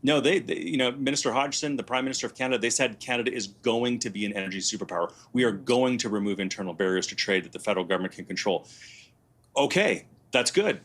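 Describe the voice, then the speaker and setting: A man's voice: medium pitch, quick pace, fairly bright tone, slightly rough. A man giving conversational political commentary as a panelist.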